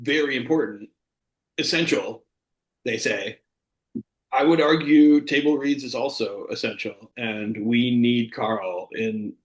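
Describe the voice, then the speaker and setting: A man's voice: mid-range voice, takes it slowly. Mock-earnest male co-host in echoey room. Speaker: comedy show co-host. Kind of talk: casual comic banter. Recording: roomy echo, faint music.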